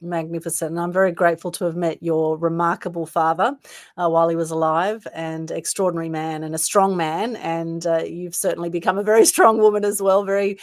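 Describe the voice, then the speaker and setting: A woman's voice: on the low side, steady tone, fairly quick, no echo, studio quality. A woman hosting an online interview show, introducing and praising her guests with enthusiasm. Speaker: talk show host.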